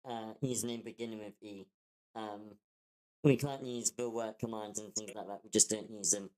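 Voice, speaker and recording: A woman's voice: very deep voice, fairly even intonation, normal speed, dark tone, poor audio. A woman talking casually in an online voice chat, like a community member helping out.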